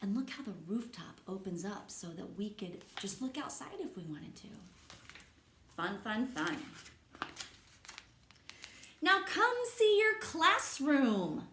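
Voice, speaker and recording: A woman teacher reading a picture book aloud to young children, stopping to ask gentle, encouraging questions. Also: medium pitch, animated, normal speed, slight background noise. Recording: echoey room.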